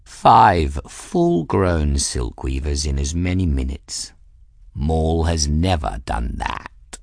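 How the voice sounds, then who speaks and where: A man performs a haughty cat warrior from a fantasy audiobook. The voice is very deep and the delivery slow. He sounds smug and pompous, as if nobody could be his equal. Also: wildly swooping pitch, vocal fry, slightly rough, no room sound, studio quality, full character voice. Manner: pompous, unhurried, self-satisfied.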